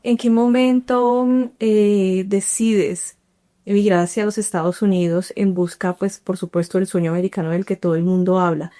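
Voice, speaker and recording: A woman's voice: mid-range voice, fairly even intonation, normal speed, mellow. A woman hosting an interview podcast, reading a scripted introduction and asking encouraging questions. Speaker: podcast host.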